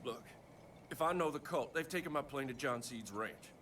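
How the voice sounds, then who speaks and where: A man's voice: mid-range voice, animated, normal speed, pushed and bright, a little hiss or hum. An actor playing a hot-tempered, foul-mouthed male bush pilot who breaks into swearing, emotional outbursts. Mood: desperate. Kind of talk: video game cutscene acting.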